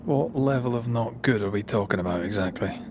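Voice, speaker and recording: An actor playing a wry, uneasy adventurer in haunted places for a video game, with a dry, sardonic delivery. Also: male voice, lowish voice, fairly quick, noisy background, close to an everyday voice.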